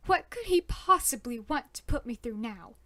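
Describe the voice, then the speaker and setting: A woman's voice: on the high side, expressive, moderate pace, fairly bright tone. A woman playing a genteel, wounded but resolute young lady in a period audio drama, speaking politely and dramatically. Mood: bitter. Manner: polite, old-fashioned, dramatic sighing delivery.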